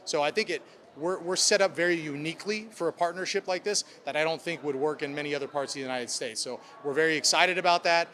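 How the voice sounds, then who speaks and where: A man's voice: a little high, fast talker, fairly bright tone. A man speaking as a club president, answering reporters' questions in upbeat corporate language. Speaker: sports club president at a press conference.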